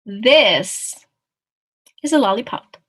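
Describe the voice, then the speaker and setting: A woman voicing a tiny, cocky storybook mouse who boasts and declares with drawn-out emphatic words. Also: fairly high pitch, lively intonation, fairly bright tone, breathy edge, exaggerated voice. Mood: confidently declaring.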